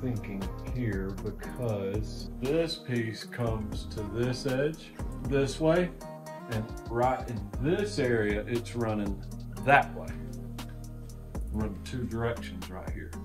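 A man's voice: mid-range voice, takes it slowly. A man in his thirties casually explaining carpentry plans in an unfinished room, folksy and drawling. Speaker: vlog co-host renovating a houseboat. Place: unfinished room of a houseboat, indoors. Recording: music playing behind.

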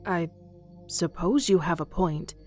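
A woman's voice: lowish voice, slow pace, darkish tone, soft background music. A woman playing a curious, wistful islander in a fantasy audio drama.

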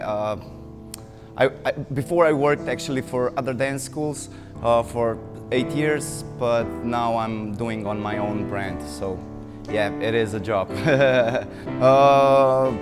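Slow-talking young Slovenian man over guitar music. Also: medium pitch. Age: 20s. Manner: informal, slangy. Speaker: dancer and dance teacher. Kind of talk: casual documentary interview. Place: dark indoor dance studio. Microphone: lapel mic.

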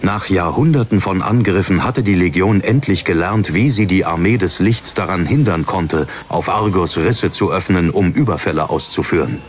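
Very deep-voiced male audiobook narrator. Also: moderate pace, few pauses, slight background noise. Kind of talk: scripted dramatic fantasy narration.

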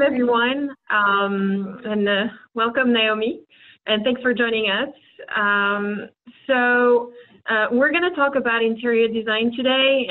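A woman in her thirties interviewing a colleague on a video call, asking polite, brief questions. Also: a little high. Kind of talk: hosting an interview. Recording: tinny phone-like call audio.

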